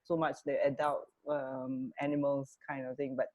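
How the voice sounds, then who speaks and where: A woman co-hosting a radio show in casual chat, halting as she searches for words. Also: rumbling low, little pitch movement, phone-quality sound.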